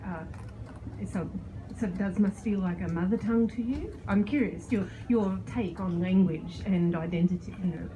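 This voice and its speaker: Dark-voiced woman asking rambling questions. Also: mid-range voice, moderate pace. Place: bookstore event. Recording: dull, slightly noisy event recording.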